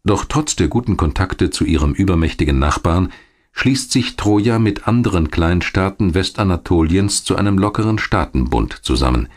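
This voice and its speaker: A man reading scripted documentary narration in a measured, clearly articulated storytelling manner. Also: low voice, animated. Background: music behind the voice.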